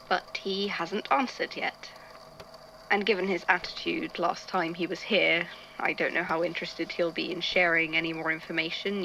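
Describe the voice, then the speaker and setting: A woman's voice: on the low side, pushed and bright, slight background noise, poor audio. A woman playing an irritable, bookish male archivist in an audio drama, speaking hesitantly into a recorder and thinking aloud. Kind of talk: audio drama narration, recorded case notes.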